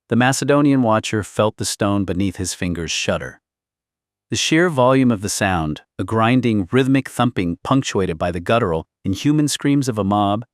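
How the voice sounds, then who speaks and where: A low-pitched man reads a historical saga as an audiobook narrator. His delivery is theatrical and intense, building suspense throughout. The sound is dry and close, with very little room in it. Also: no echo. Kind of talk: dramatic scripted narration of historical fiction.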